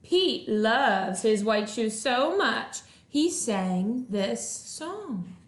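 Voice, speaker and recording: A woman who teaches first grade, reading to young children in an animated, expressive, sing-song way, recorded indoors at home. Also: fairly high pitch, extremely slow. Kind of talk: read-aloud storytelling for young children. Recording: roomy home recording with echo.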